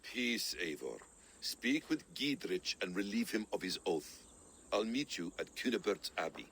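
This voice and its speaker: An actor playing a calm, world-wise warrior adviser with a gravelly voice. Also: male voice, on the low side, unhurried, a little hiss or hum, exaggerated voice.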